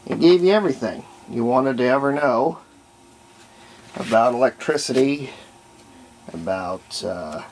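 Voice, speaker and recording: Gravelly, unhurried American man. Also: medium pitch, darkish tone. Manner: halting, folksy, trails off mid-phrase. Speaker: hobbyist presenter demonstrating a homebuilt radio. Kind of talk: casual unscripted show-and-tell. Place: indoors at a cluttered hobby desk. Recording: faint background noise.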